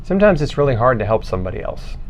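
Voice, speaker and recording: Medium-pitched man hosting an interview. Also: sing-song, rapid-fire, a little hiss or hum. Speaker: interviewer and show host. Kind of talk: conversational interview.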